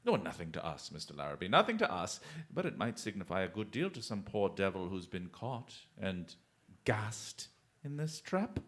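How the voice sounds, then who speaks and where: An actor playing a shrewd, commanding detective in a radio drama, speaking formally and deliberately with dry, theatrical mockery. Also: male voice, low voice, wildly swooping pitch, fairly bright tone, full character voice. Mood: musing, sly. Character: shrewd, commanding male detective, coolly taunting and unflappable.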